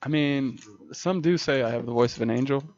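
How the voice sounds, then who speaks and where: A man's voice: mid-range voice, a little fast, a little mellow. A man casually commentating a live poker stream with a co-host, laughing often and rambling a little. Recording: dull stream audio with faint hiss.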